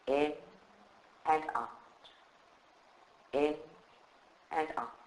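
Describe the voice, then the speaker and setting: A man guides a meditation in a fairly high voice. He speaks very slowly and soothingly, leaving long pauses between short phrases. The live recording is roomy and clearly echoing. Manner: calm, soothing, measured instruction with long pauses. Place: indoor room at a group meditation session.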